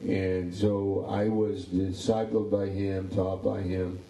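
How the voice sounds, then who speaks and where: A man's voice: low-pitched, flat delivery, slow, warm tone, roomy sound, distant mic. A pastor in his sixties chatting casually about his ministry, rambling and repeating words. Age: 60s. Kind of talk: casual interview chat.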